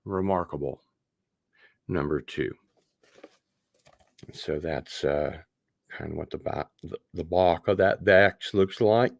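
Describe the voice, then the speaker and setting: A man's voice: deep voice, slow pace, vocal fry, pauses often, no room sound, clean studio sound. A man hosting a casual unboxing livestream, rambling along with wry asides. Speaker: host of an online show.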